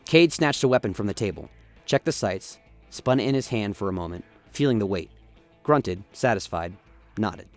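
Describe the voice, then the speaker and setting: A man's voice: lowish voice, expressive, moderate pace, faint music behind. A man narrating a science-fiction radio play in a dramatic, scripted storytelling style. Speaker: narrator, lore reader.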